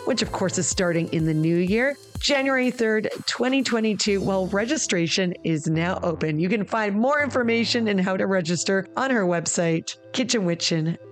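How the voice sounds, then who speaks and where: Woman reading podcast outro, upbeat promo vibe, soft music underneath. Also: mid-range voice, expressive, normal speed. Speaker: podcast announcer. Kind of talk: scripted outro. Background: faint music.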